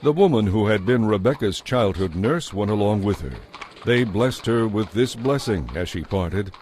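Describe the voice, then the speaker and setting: Low-voiced man narrating scripture. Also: lively intonation, moderate pace, darkish tone, slight background noise. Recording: music behind the voice.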